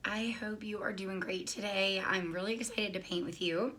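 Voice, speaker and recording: A woman with a scratchy, hoarse voice from being sick, casually talking through a painting tutorial with lots of ums and restarts. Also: mid-range voice, fairly even intonation, moderate pace, a bit bright. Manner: chatty, many ums and restarts. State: hoarse, recovering lost voice. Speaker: painting instructor.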